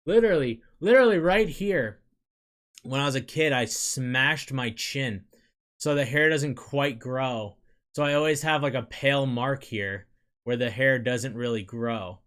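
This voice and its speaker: A young man talking casually to his audience, a loose rambling ramble that drifts into quieter muttered asides. His voice sits at an ordinary mid pitch. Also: measured pace. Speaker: streamer chatting with viewers.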